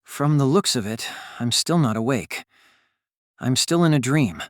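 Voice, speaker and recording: A man's voice: medium pitch, expressive, measured pace, no echo, clean studio sound, lightly acted. A man playing an anxious young working man muttering wryly to himself in an audiobook. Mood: groggy, resigned. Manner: inner monologue, muttering questions to himself. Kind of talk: audiobook character acting.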